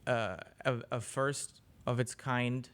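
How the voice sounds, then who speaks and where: A man, answering interview questions casually as a journalist, often pausing mid-sentence. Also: medium pitch, flat delivery, a bit bright, clear, resonant tone. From Germany. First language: German. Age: 40s. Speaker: interview guest, business journalist.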